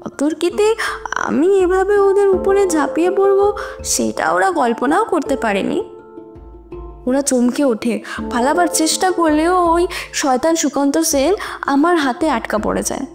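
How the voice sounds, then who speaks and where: An actress playing a young female student in an audio drama, giving bitter, halting, emotional testimony in court. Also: sits high, lively intonation, vocal fry, music in the background. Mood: anguished confession. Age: young adult.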